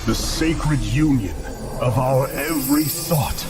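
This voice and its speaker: A man playing a solemn, proud alien warrior leader, narrating a game cinematic in a grave, stately, deliberate way. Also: mid-range voice, natural voice. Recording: loud cinematic music behind.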